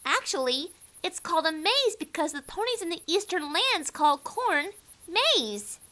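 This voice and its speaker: A woman voicing an excitable, snarky and nervous young pony filly in a fan audio drama. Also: very high-pitched, unhurried, heavily acted. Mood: smug, teasing.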